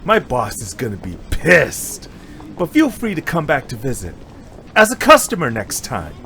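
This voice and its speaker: A man playing an eager, good-natured fast-food worker and retired martial arts pupil in an amateur anime-style drama, excitable and boastful but respectful. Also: mid-range voice, vocal fry, heavily acted. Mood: humbled, grateful.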